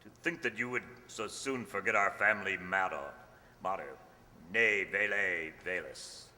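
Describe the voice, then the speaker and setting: An actor playing a bitter, sardonic young aristocrat in a melodramatic radio play. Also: male voice, fairly low pitch, normal speed, pushed and bright. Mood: menacing. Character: bitter, sneering young aristocrat, a wronged cousin. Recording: noisy, echoey hall recording.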